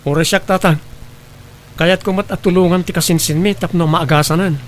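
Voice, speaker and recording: A man's voice: high-pitched, steady tone, brisk, lightly acted. A man playing a concerned, helpful family man in a radio drama, speaking earnestly. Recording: faint background noise.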